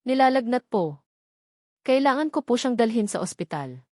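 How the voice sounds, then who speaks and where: A woman's voice: fairly high pitch, big pitch swings, normal speed, darkish tone, no echo, clean studio sound, voice pushed up. A woman narrator voicing an anxious but defiant young single mother in a romance story, deferential and determined. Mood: panicked, worried.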